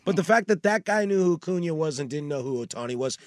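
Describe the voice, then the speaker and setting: A man hosting a casual sports talk show, telling stories with bursts of energy and drops into low asides. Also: quick pace, no echo, studio quality. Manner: animated storyteller, swings between loud bursts and low asides.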